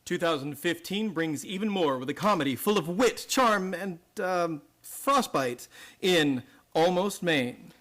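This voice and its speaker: A man's voice: high voice, lively intonation, unhurried, a bit bright. A man co-announcing a scripted theatre promo.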